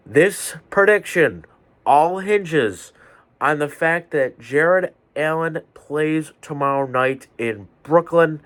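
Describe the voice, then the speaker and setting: A man hosting a solo sports podcast from his bedroom, a little stuffed up, delivering picks in a measured, deliberate way. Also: a little high, fairly bright tone. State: stuffy nose. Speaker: podcast host. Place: home bedroom with fans running.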